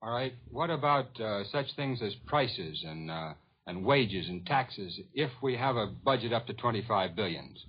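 A man's voice: fairly low pitch, expressive, unhurried, hardly any pauses, slightly rough. An American man putting questions to a guest as a 1940s radio interviewer. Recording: dull 1940s radio recording.

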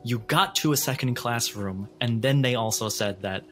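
A man's voice: medium pitch, moderate pace, a bit bright. A man running a tabletop game as game master, narrating and improvising scenes for the players. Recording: music under the voice. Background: background music.

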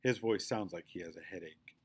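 A man reading a children's book aloud as an expressive narrator. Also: sits low, fairly quick, slightly rough.

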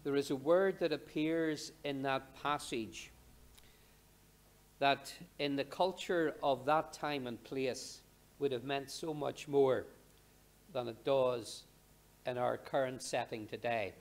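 A man in his sixties preaching a sermon from a church pulpit, speaking in short measured phrases with pauses and rhetorical questions. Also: mid-range voice, fairly even intonation. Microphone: lectern microphone.

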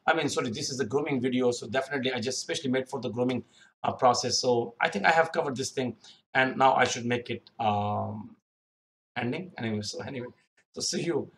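A man's voice: medium pitch, fairly quick, fairly bright tone. Rambling young man giving interview tips. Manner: rambling, repeats himself, many fillers. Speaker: youtube career advice host. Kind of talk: unscripted screen-share tutorial. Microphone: webcam in corner of screen recording.

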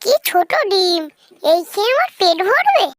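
A performer voicing a mischievous, always hungry trickster fox with a childlike, squeaky, singsong delivery in a children's fairy-tale cartoon. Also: very animated, normal speed, a bit bright, dry recording, full character voice. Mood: greedy, searching. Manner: singsong, repeats a nonsense rhyming catchphrase.

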